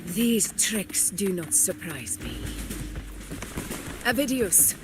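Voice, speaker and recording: An actress voicing a proud, battle-loving warrior leader in a video game, with bold, imperious delivery. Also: female voice, medium pitch, sing-song, takes it slowly, background noise. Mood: calm, commanding. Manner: bold, imperious battle cries and crisp orders. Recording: loud music behind.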